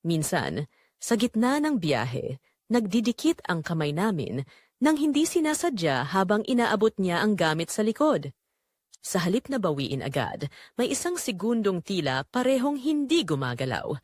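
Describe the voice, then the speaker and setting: A woman reads a scripted romantic drama in the first person. Her mid-pitched voice moves expressively up and down while keeping a measured, sentimental storytelling delivery. The sound is dry, with no room around it. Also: no echo. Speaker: story narrator.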